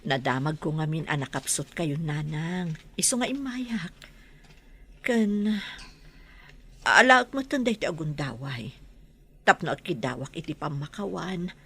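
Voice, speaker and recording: A woman's voice: deep voice, a little slow, pauses often, a bit bright, husky, full character voice. A woman playing an earnest, respectful married daughter-in-law in an Ilocano radio drama, with an Ilocano accent. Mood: apologetic.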